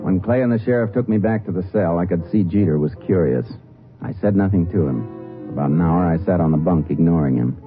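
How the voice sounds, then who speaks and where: An American actor in a 1950s radio drama, playing a terse Texas Ranger who poses as a tough jailbird. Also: male voice, low voice, brisk, close to an everyday voice. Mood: terse, steady. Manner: terse, hard-boiled. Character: Texas Ranger posing as surly tough jailed crook. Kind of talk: 1950s radio acting and first-person narration. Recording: muffled vintage 1950s radio recording, music.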